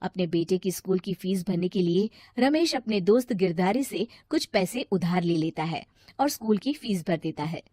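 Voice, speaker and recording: A woman's voice: fairly low pitch, animated, moderate pace, mellow, no room sound, clean studio sound. A woman reading scripted story narration as the storyteller.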